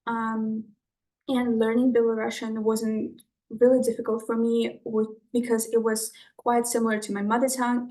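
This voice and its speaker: A teenage girl from Uzbekistan, a native Russian speaker, presenting a student research project over a video call in a measured way with ums. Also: fairly high pitch, darkish tone, rough recording. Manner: measured, with ums between phrases. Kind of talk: presentation talk.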